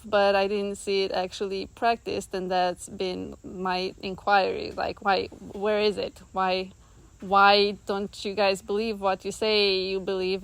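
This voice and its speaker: A woman's voice: medium pitch, no echo. A woman from Romania speaking earnestly and reflectively as an interview guest, often with a laugh in her words. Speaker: guest, artist. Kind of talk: reflective personal conversation.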